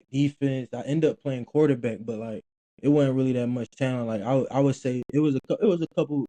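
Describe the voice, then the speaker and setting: A young man, his voice very dark and mellow. He talks in a loose, rambling way with slang and many restarts, recorded close and dry. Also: mid-range voice, no echo. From Philadelphia, Pennsylvania. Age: 20s. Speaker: guest, former college football player. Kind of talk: casual interview talk.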